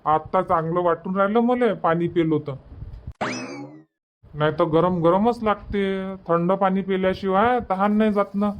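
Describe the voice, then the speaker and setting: Man playing high-voiced, impatient Vidarbha family man. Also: moderate pace, muffled recording, a little hiss or hum, close to an everyday voice. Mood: matter-of-fact. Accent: Marathi of Vidarbha. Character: young village family man, impatient, bossy. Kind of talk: cartoon comedy dub.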